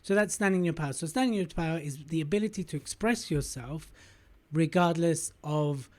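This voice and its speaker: A man's voice: fairly high pitch, a little fast, a little mellow. A man hosting a podcast interview, asking probing questions and summarizing what his guest says. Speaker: podcast host.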